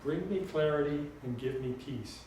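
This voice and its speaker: A man's voice: fairly high pitch, normal speed, darkish tone, lightly acted. Man reading as troubled younger woman, echoey room. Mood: frustrated. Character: troubled grown granddaughter, frustrated and wistful woman. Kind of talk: audiobook character dialogue. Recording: very echoey room, distant mic, faint noise.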